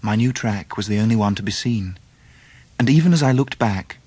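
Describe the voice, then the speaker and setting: An actor playing a reflective English gentleman who narrates his own past in measured, literary style for a radio drama. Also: lowish voice, breathy edge, dry recording. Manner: measured, literary, well-spoken. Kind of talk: scripted first-person narration in radio drama.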